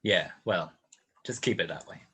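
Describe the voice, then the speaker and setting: A man's voice: sits low, moderate pace, bright, forceful tone, breathy edge, exaggerated voice. A man playing a wry, laconic 1940s private detective in a radio play, both narrating and in dialogue. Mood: brusque. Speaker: lead actor and narrator. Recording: music behind the voice, home-recorded radio play. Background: music.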